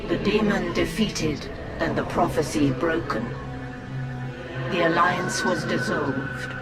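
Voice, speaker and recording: A solemn storyteller recounts a dark war saga in a game cinematic. His voice sits a little high for a man and moves slowly and portentously. Loud music plays behind him. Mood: grave, solemn. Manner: grave, measured, portentous. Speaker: narrator. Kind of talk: scripted dramatic narration.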